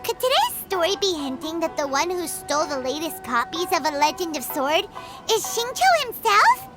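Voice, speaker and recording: Super high squeaky little fairy girl, excitable, talks about herself in third person, swoopy voice, loud game music under it. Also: normal speed. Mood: puzzling. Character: tiny chatty fairy companion girl, excitable. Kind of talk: game voice acting.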